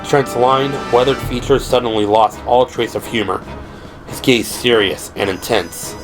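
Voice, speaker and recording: A man reads dramatic scripted narration for an audio drama. His voice sits at a medium pitch, and music plays clearly underneath. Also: steady tone, unhurried.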